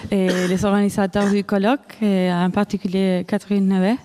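A woman's voice: mid-range voice, flat delivery, moderate pace, warm tone. A woman from Argentina with an Argentine Spanish accent, speaking French as a second language, giving an academic conference talk with frequent restarts and fillers. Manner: hesitant, many restarts and fillers. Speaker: researcher presenting at a conference.

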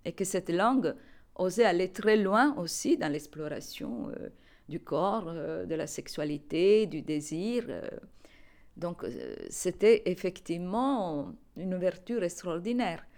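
Thoughtful woman speaking low. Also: animated, measured pace, a little mellow. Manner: thoughtful, hesitant, with fillers and laughs. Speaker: interviewee, researcher. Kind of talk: reflective personal account.

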